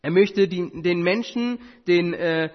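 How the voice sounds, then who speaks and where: A man's voice: high-pitched, slow. A man whose first language is Tamil, preaching an earnest sermon with rhetorical questions and occasional hesitations. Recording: muffled sound with long hall reverb.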